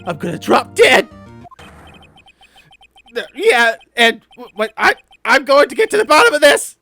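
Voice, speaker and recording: A man's voice: very high-pitched, very animated, measured pace, pushed and bright, no room sound, soft background music, pitched above the performer's own voice, exaggerated voice. A man voicing a whiny, indignant young slacker on trial in a video game, with melodramatic, slangy delivery. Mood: outraged, despairing. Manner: melodramatic, slangy, dragging out words. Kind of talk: video game role-play.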